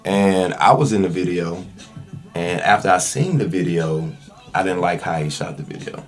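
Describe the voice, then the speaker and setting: A man's voice: low-pitched, moderate pace, faint music behind. A man telling his story as a podcast guest, conversational, with frequent "you know" asides. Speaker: podcast guest, actor and videographer. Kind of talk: casual interview storytelling.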